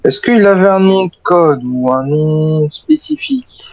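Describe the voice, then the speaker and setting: A man role-playing a courteous zombie survivor in a game, with formal phrasing and hesitant pauses. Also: on the high side, sing-song, no echo, muffled recording. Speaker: video game role-player. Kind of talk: improvised in-character game chat.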